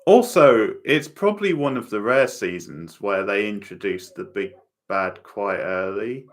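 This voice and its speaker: A man's voice: fairly low pitch, animated, a little slow. A man giving casual, opinionated commentary on a TV show as host of a live watchalong, chatting back to viewers.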